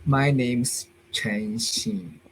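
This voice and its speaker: A man's voice: medium pitch, steady tone, a bit bright, breathy edge, poor audio. Hesitant man, beginner English. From China. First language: Chinese. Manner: halting beginner English, hesitant, restarts and broken grammar. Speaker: English learner. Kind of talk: halting beginner conversation in a lesson. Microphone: video call.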